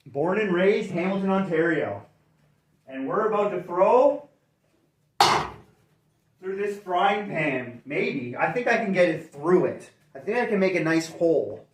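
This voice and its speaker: A man's voice: high voice, lively intonation, a little slow, slight background noise. A middle-aged man from Canada chatting casually on a livestream as host, upbeat and rambling, correcting himself as he goes. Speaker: channel host. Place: indoors near a fireplace. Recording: roomy echo, mic far off.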